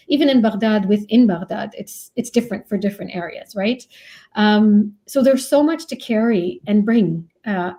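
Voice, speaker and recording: A woman's voice: mid-range voice, mellow. A woman from Iraq, an author being interviewed, giving thoughtful, reflective answers in a conversational way. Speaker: author and professor, interview guest.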